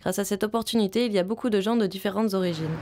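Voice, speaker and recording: A young woman from Vietnam, a native Vietnamese speaker, calmly narrating a personal video diary as a visiting film student. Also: mid-range voice, rapid-fire, noisy background. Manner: calm, reflective, first-person storytelling.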